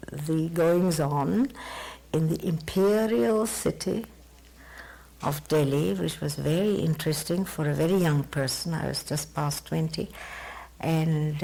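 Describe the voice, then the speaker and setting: A woman in her sixties with an Austrian-tinged British accent, recalling the past slowly and thoughtfully in an interview, with many pauses. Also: low-pitched, vocal fry, mellow, low-quality recording. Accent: Austrian-tinged British English. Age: 60s. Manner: measured and reflective, with many pauses. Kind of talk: recorded oral history interview.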